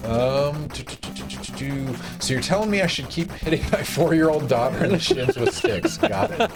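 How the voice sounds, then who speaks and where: A man in his thirties hosting a science livestream, chatting casually, laughing often and giving quick directions for a retro game. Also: on the high side, very animated, vocal fry. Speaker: stream host. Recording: music playing behind.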